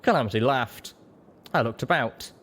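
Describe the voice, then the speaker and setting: A man's voice: medium pitch, animated, moderate pace, fairly bright tone, slight background noise. A man reading scripted first-person story narration as an audiobook narrator.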